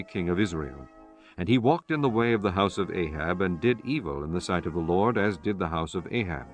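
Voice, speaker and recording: A man narrating a dramatized scripture audiobook in a measured, solemn way. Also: lowish voice. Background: music.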